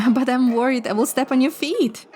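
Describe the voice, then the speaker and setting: A woman playing a sweet, affectionate young heroine, soft and earnest, in a scripted romantic audio drama. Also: sits high, moderate pace, lightly acted. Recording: music behind the voice.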